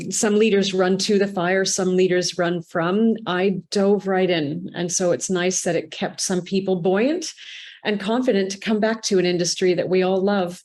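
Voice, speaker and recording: A Canadian woman speaking as a guest on an interview podcast, talking in a measured, thoughtful way and stressing key words. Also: fairly low pitch, darkish tone. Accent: Canadian English.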